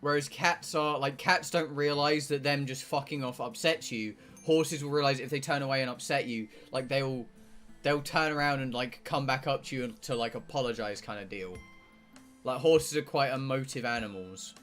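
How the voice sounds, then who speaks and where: A man's voice: medium pitch, normal speed, fairly bright tone, soft background music. A man from England with a southern English accent, chatting casually and bluntly on a livestream, rambling and swearing freely. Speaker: game streamer.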